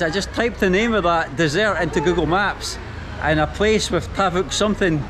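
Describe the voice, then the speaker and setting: A Scottish man vlogging his travels, chatting casually and talking straight to his viewers from outdoors on a city street. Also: high-pitched. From Scotland. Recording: street traffic noise behind. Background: traffic and street ambience.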